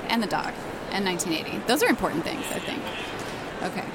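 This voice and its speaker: A woman's voice: on the low side, animated, fast. A woman in her forties hosting a casual, chatty podcast interview with playful, self-deprecating humor. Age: 40s. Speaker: podcast host interviewing a guest. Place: book fair conference. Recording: loud background noise of a busy venue.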